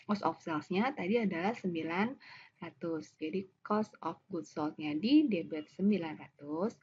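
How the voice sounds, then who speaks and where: A woman with an Indonesian accent giving a step-by-step accounting lesson as a lecturer, in a didactic way, often posing questions and answering them herself. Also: lowish voice. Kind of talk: explanatory lesson, walking through worked examples. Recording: dull lo-fi audio with clear background noise.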